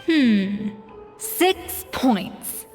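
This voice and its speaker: Haughty, high-voiced young chef. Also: female voice, sing-song, extremely slow, a little mellow, heavily acted. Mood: scornful. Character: proud, exacting young female chef, haughty and theatrical judge. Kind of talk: video game voice acting. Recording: reverberant, music behind.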